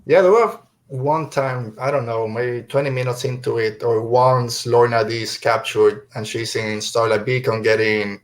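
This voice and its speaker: A man's voice: mid-range voice, steady tone, moderate pace, hardly any pauses, low-quality recording. A middle-aged man who is a native Spanish speaker, hosting a podcast and chatting casually through a review. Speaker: podcast host.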